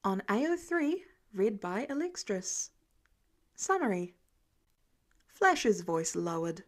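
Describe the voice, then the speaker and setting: A woman's voice: mid-range voice, sing-song, takes it slowly. A woman reading a scripted story aloud as a narrator. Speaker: podfic reader.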